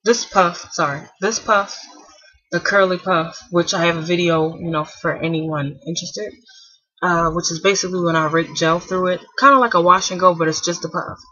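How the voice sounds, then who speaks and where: Bright, husky young woman chatting softly. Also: on the low side, fairly even intonation, moderate pace, dry recording, muffled recording. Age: 20s. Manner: rambling, with many fillers and restarts; speaking softly to keep a napping child asleep. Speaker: hair vlogger. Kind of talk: casual chat to camera.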